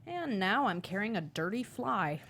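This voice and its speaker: A woman's voice: medium pitch, big pitch swings, normal speed, a bit bright, slight background noise. A woman playing a haughty, fussy, dramatic butterfly in a comedy table read. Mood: snooty. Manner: theatrical, fussy, exclamatory.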